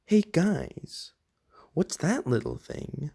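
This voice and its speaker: A reader puts on a big cocky truck from a children's storybook. The lines are exaggerated and swing widely in pitch. The pace is slow and deliberate, as if for small children. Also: sing-song, creaky, warm tone, breathy edge, heavily acted. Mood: mocking. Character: big cocky trucks looking down on a little car.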